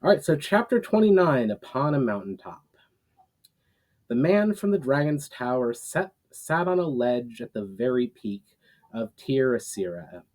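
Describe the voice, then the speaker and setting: Man, author storyteller, soft mellow tone, average pitch, slightly halting reading. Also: low-quality recording. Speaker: author reading own fantasy novel aloud. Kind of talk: casual intro, then dramatic storytelling read aloud.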